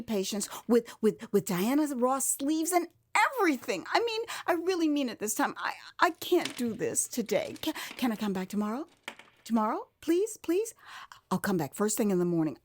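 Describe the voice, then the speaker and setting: Young female volunteer for audio drama, high and animated, begging, stammering, flustered, acted by a woman. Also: normal speed. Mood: pleading, frantic. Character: pleading, flustered 23-year-old American woman volunteer.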